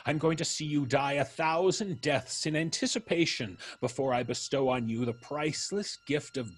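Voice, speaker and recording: An actor playing a vengeful, gloating ex-convict in an old-time radio drama, with theatrical menace and florid, drawn-out diction. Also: male voice, fairly high pitch, breathy edge. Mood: vengeful, menacing.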